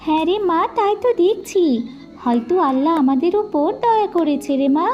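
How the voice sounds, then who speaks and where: Woman as poor devout mother, high voice, soothing, patient, tender, cartoon, soft music behind. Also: expressive, moderate pace, lo-fi sound. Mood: tender, sorrowful. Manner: soothing, sighing endearments.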